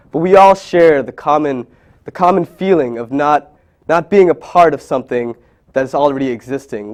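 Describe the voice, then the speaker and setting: A man's voice: a little high, darkish tone. Earnest man reading a documentary narration. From Bangladesh. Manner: earnest, reflective, read from a script. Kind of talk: scripted narration.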